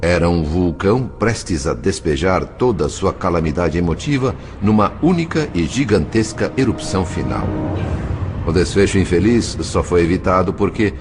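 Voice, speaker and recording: A man with a deep voice reads a novel as scripted dramatic narration. His delivery is measured, literary and expressive. Soft music plays faintly underneath. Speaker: audiobook narrator.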